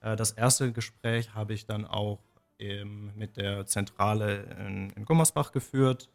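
Young male guest, lowish voice, hesitant and measured, standard German. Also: fairly even intonation, gravelly. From southwest Germany. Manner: measured, hesitant, many fillers. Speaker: trainee, radio guest. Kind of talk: radio interview answers.

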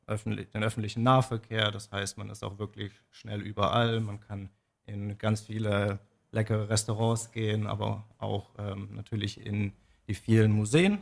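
Young male guest, lowish voice, hesitant and measured, standard German. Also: level tone, vocal fry. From southwest Germany. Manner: measured, hesitant, many fillers. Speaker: trainee, radio guest. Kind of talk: radio interview answers.

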